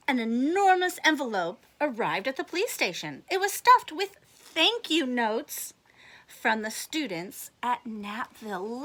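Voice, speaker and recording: High, bright, animated woman storyteller. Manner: expressive, exaggerated gasps and questions to young listeners. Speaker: storyteller reading a picture book to children. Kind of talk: animated children's read-aloud with asides and questions.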